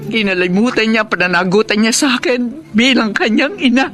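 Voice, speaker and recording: A woman's voice: fairly high pitch, moderate pace, music in the background, close to an everyday voice. An actress playing a middle-aged, bitter mother in an emphatic, accusing radio melodrama style. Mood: bitter, unforgiving. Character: bitter, wounded mother who refuses to forgive her daughter. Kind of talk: Tagalog radio melodrama acting.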